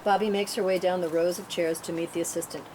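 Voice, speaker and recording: Woman, fairly low pitch, scripted narration, stage directions, table read, noticeable background noise. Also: steady tone, fast talker, warm tone. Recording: clearly noisy room recording.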